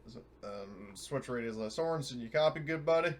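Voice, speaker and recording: A man's voice: medium pitch, lively intonation, moderate pace, lightly acted. A man voicing a folksy, worried crew captain in a tabletop sci-fi game, informal and hesitant. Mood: worried, businesslike.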